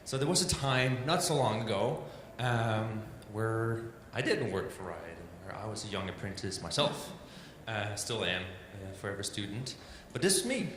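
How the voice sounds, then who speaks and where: A Danish-accented man presents an informal talk in English, chatty and self-deprecating, padding his sentences with "like" and "um". His voice carries a long reverb, as if he were in a large hall. A steady background hiss sits under everything. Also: fairly low pitch, normal speed, hardly any pauses. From Denmark. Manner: loose and chatty, many "like" and "um" fillers, self-deprecating.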